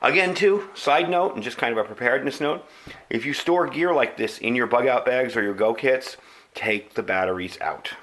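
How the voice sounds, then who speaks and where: A man with a bright, forceful voice at a middle pitch presents a product to his viewers. He speaks casually and conversationally, explaining things plainly as he goes. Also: moderate pace. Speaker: video channel host. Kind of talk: casual product review to camera.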